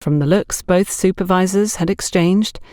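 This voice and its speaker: A woman narrates a scripted mystery-style story in a fairly low voice. The sound is dry and close, with no room around it, and the delivery is that of an audiobook reader. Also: normal speed, a little mellow, no echo.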